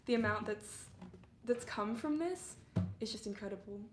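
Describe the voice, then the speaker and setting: A woman's voice: mid-range voice, animated, normal speed, a little hiss or hum. Chatty young woman, English-Canadian accent, echoey. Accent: English mixed with Canadian. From England. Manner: chatty, giggly, lots of fillers. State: voice a bit under the weather. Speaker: livestreaming singer-songwriter. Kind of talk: casual livestream chat.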